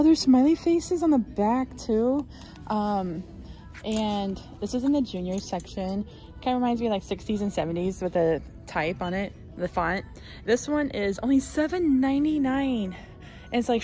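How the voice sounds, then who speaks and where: A woman's voice: mid-range voice, mellow. A woman with an American accent giving casual, informal shop-with-me narration in a chatty way. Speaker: shopping vlogger.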